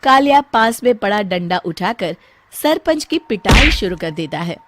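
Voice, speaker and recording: Lively woman story narrator. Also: medium pitch, unhurried, dry recording. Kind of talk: scripted children's story narration. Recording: clearly noisy background.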